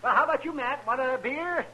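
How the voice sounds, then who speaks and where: An actor playing a cheerful, sociable town doctor in a 1950s radio western, chatty and wry. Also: male voice, extremely high pitch, normal speed, bright, forceful tone, full character voice. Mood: jovial. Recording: dull, noisy old radio recording.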